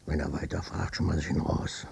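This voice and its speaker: Husky, blustering old father. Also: extremely low pitch, little pitch movement, normal speed, full character voice. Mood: muttered menace. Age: older. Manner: blustering, repetitive, swinging from muttering to shouting. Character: stubborn, evasive old father, former wartime policeman, short-tempered. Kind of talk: German radio drama acting.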